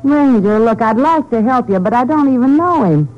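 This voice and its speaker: A woman's voice: on the high side, expressive, normal speed, close to an everyday voice. A woman playing a tired, world-weary roadhouse showgirl in a 1950s radio drama, plain-spoken and wry. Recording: muffled old radio recording.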